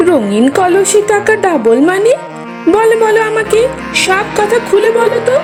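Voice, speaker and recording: Woman as cartoon fox wife, extremely high voice, bossy, suspicious, emphatic, commanding, music behind. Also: big pitch swings, unhurried, heavily acted. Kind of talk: comic cartoon dialogue.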